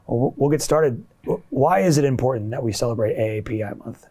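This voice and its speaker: A man's voice: mid-range voice, lively intonation, fairly quick, warm tone. A man moderating a panel discussion in a conversational style. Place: TV studio.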